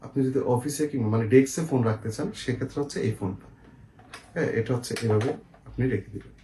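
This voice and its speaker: A man's voice: medium pitch, fast. A man with a Bangladeshi accent casually presenting and explaining products as a seller, often checking in with the viewer. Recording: echoey room, faint noise, clicks.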